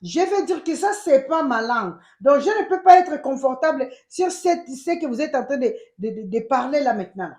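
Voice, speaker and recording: A woman with a Congolese accent giving emphatic, animated casual commentary as a channel host, switching between French and Lingala. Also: high-pitched, normal speed, hardly any pauses, reverberant. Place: United States.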